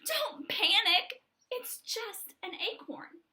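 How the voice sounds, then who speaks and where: Little hen child, played by a woman, storybook. Very high, bright, excitable, earnest, urgent. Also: slow, heavily acted. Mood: urgent, alarmed. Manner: blurts out urgent warnings, pleading. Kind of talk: picture-book read-aloud.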